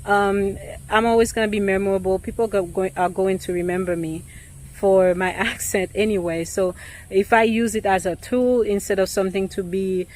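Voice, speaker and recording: A woman realtor with an accent, talking casually and thoughtfully to the camera, pausing often with ums and restarts. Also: mid-range voice, steady tone, darkish tone.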